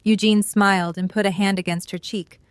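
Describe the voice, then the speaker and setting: Mid-pitched woman narrating a story. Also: fairly even intonation, normal speed, no echo.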